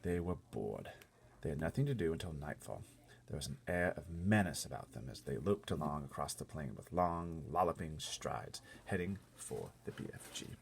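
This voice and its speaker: A man with a gravelly, husky voice reading a storybook aloud expressively, with casual asides. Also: rumbling low, sing-song, normal speed. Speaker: storybook reader.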